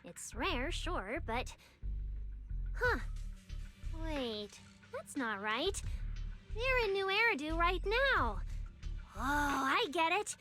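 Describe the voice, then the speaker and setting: A woman voicing a spirited young woman in a video game, who teases quickly. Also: high voice, heavily acted. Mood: puzzled. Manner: quick, teasing and animated. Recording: game audio under music.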